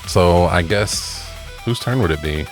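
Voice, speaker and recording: A man's voice: sits low, measured pace. A man co-hosting a casual quiz-style chat about movies and games, joking and swearing informally. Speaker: co-host. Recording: guitar music behind the voice.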